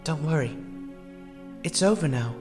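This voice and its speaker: A man acting a compassionate, hesitant character in a fan audio drama, earnest and halting in his delivery. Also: a little high, lively intonation. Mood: gentle. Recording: long reverb, music underneath.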